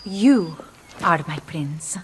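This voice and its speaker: Tender, devoted lady for a game, extremely unhurried soft delivery. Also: on the low side, expressive, a little hiss or hum. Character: tender, loving Italian noblewoman wife. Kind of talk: dramatic video game cutscene acting.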